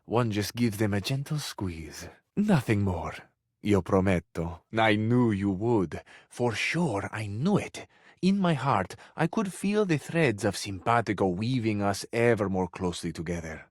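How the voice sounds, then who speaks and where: A man's voice: lowish voice, lively intonation, measured pace, no room sound, clean studio sound. A man voicing a flamboyant, hopelessly romantic Italian charmer in a video game, with an Italian accent and a florid, amorous delivery that savors each phrase. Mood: playful, affectionate. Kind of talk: theatrical video game character acting.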